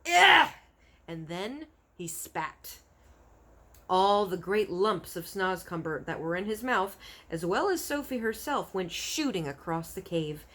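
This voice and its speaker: A woman reading a children's book aloud as an expressive storyteller. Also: fairly low pitch, measured pace.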